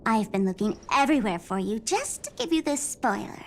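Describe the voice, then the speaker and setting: A woman's voice: a little high, sing-song, fairly quick, a little hiss or hum. An actress playing a theatrical, teasing young trickster woman in an anime-style game, with a smug, sing-song delivery. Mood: sly, dramatic. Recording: music behind the voice. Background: game soundtrack music.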